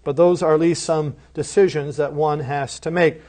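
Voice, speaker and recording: A man's voice: fairly high pitch, fairly even intonation, moderate pace, a little mellow. A man giving a lecture on preaching. He talks in an earnest, teacherly way and often asks the listeners rhetorical questions. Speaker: seminary lecturer.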